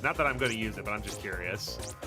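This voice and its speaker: A man's voice: lowish voice, fast, over music. A man hosting a game livestream, chatting casually in a rambling, joking, sweary way. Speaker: game streamer, host.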